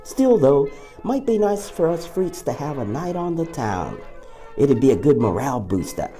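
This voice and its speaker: A man's voice: mid-range voice, sing-song, normal speed, dark tone, faint music behind. A man playing a chummy, wisecracking henchman to an evil boss in an audio drama, with a folksy, casual manner. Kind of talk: audio drama character acting.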